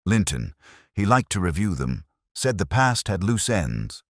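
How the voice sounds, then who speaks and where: A man's voice: low-pitched, sing-song, unhurried, no room sound, clean studio sound, pitched above the performer's own voice, exaggerated voice. A man voicing an anxious, defensive records clerk in a mystery story. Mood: hesitant, defensive.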